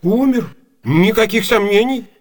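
A man playing a fussy, pompous, placating elderly gentleman in a theatrical comic radio play. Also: high voice, lively intonation, unhurried. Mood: insistent.

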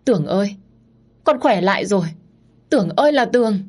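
A woman's voice: fairly low pitch, normal speed, a little mellow, husky. Woman doing a stern old landowner father.

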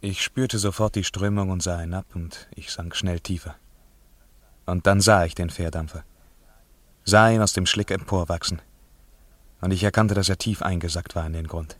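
Low, close, dry storytelling diver. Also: male voice, fairly even intonation, moderate pace, dry recording. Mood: tense, wondering. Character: diver recounting an eerie underwater descent. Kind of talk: 1950s radio play narration, literary.

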